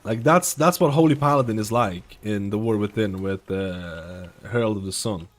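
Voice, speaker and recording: A man's voice: on the low side, moderate pace. A man gives casual, opinionated live gaming commentary as a streamer, swearing offhandedly. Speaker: game streamer.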